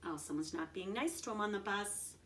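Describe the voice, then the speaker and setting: A woman's voice: mid-range voice, expressive, a little fast, fairly bright tone. A woman reading a children's picture book aloud expressively, adding simple explanations and checking in with her young listeners. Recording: roomy echo, distant from the mic.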